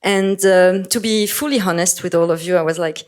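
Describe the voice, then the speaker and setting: Woman, from Morocco, native French speaker, panel moderator, halting speech, frequent laughs. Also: fairly low pitch, a little mellow. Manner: hesitant, self-correcting, laughs often. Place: conference hall. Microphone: handheld microphone.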